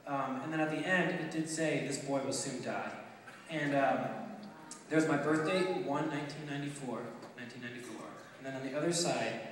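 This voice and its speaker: A nineteen-year-old man from Romania giving an earnest, halting testimony to an audience, with many ums and restarts. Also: mid-range voice, a little hiss or hum. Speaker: speaker telling his adoption story. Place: large hall with an audience. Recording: echoey hall from far off.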